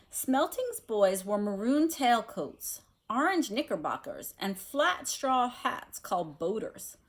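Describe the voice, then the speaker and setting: A woman speaking as a teacher doing a read-aloud of a children's novel, explaining things in a careful, measured way. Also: medium pitch. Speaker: teacher reading aloud to students.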